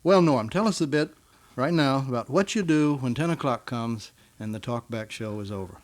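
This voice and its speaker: Man, American, medium-pitched voice, informal radio interviewer, guest host. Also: moderate pace.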